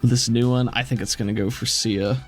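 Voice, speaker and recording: A man runs a tabletop roleplaying game as game master. He describes things vividly in a mid-pitched voice and slips into casual asides, with music playing underneath. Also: fairly even intonation, a little fast, darkish tone.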